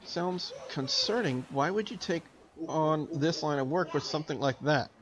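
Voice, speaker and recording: Man playing a blunt young guy, higher-voiced. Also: lively intonation, moderate pace, a crowd in the background, natural voice. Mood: curious, concerned. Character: blunt, awkward young male visual novel protagonist.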